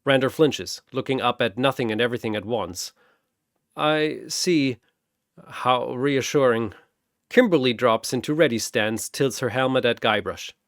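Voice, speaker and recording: A man's voice: mid-range voice, brisk, hardly any pauses, a bit bright, no room sound, studio quality. A man reading dramatic scripted story narration in a theatrical, punchy way.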